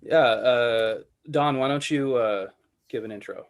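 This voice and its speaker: A man's voice: medium pitch, measured pace. Man, meeting host, casual, rambling, mid voice. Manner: loose, filler-heavy, with restarts.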